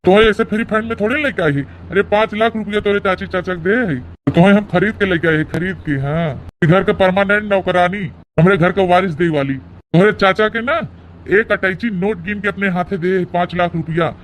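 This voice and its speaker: A man's voice: sits high, a little fast, slight background noise, close to an everyday voice, poor audio. A man with an Awadhi accent voicing a callous elderly husband who lectures overbearingly in a comic cartoon.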